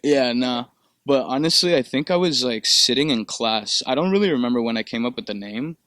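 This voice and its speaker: A man's voice: medium pitch, animated, fairly quick, fairly bright tone, no room sound, clean studio sound. A young man from Peru, a musician answering interview questions in a loose, rambling way with lots of fillers and swearing. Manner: laid-back, rambling with many fillers and profanity. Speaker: musician guest. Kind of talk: casual interview.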